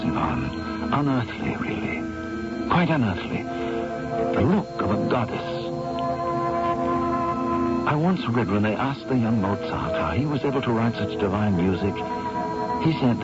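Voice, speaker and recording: An actor playing a troubled, obsessive painter in a radio mystery drama, speaking with theatrical intensity and hesitant repetitions. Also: male voice, medium pitch. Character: troubled, obsessive male painter, fearful and awestruck. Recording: muffled vintage radio broadcast, music underneath.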